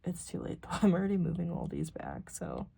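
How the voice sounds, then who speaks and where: A woman's voice: fairly low pitch, huge pitch swings, fairly quick, vocal fry, mellow, breathy edge. A young American woman chatting casually and ramblingly as she works on her planner, informal and self-deprecating, sometimes murmuring to herself. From rural South Dakota, US. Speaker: planner vlogger.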